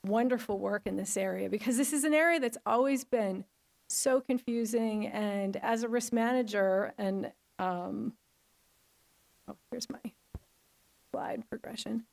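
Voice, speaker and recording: Chatty woman speaker with a gravelly edge. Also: a little high. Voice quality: loud voice. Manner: chatty, enthusiastic, many fillers. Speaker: hospital risk manager and attorney. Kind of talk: conference talk. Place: large lecture auditorium.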